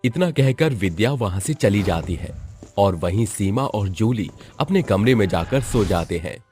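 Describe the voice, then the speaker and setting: A man narrating a spooky storybook cartoon, his voice fairly low. His pitch swings widely as he tells the tale. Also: animated, normal speed, darkish tone, faint music behind.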